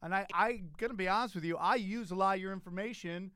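A comedian hosting a casual interview, rambling and joking loosely with some swearing. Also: male voice, high voice, fast talker, bright, forceful tone, smooth, no room sound, studio quality. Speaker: podcast host, stand-up comedian.

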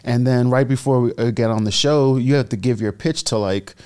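A man's voice: mid-range voice, brisk, a little mellow, clear, resonant tone. A young man from the Bahamas, a guest entrepreneur telling his story in a casual interview and acting out the quotes.

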